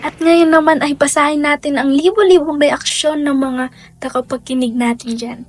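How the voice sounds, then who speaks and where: A woman's voice: high-pitched, steady tone, normal speed. A woman presenting as a radio DJ in a scripted hosting style. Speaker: radio DJ and host. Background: music.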